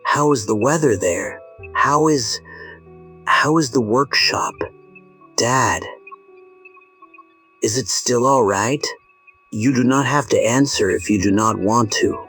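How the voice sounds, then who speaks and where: A man playing an anxious, tender grown son on a call to his father, hesitant and gentle, in an audio drama. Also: mid-range voice, slow, pauses often, soft background music.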